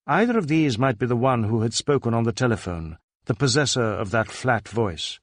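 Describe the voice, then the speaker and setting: A man's voice: medium pitch, dry recording, clean studio sound. A man reading a crime novel aloud as scripted narration. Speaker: audiobook narrator.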